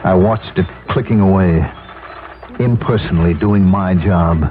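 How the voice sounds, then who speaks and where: Desperate, ashamed jobless husband, middle-aged, low register, 1970s radio drama, narrow tinny sound. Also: male voice, unhurried, slight background noise. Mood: bitter, ashamed. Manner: confessional, tense narration and dialogue. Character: desperate, ashamed middle-aged out-of-work family man narrating his downfall. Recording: tinny 1970s radio broadcast, music behind.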